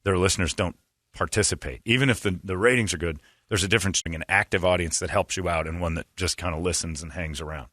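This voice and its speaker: Rambling morning radio host, bright dry voice. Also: male voice, low voice, fast talker, no room sound. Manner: loose, rambling, laughs often. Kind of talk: casual morning radio talk. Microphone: studio microphone.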